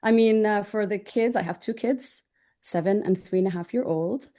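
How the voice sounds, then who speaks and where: A woman's voice: mid-range voice, moderate pace, dark tone. A woman in her thirties from Jordan, answering interview questions in an upbeat, informal, chatty way. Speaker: interview guest, online business owner.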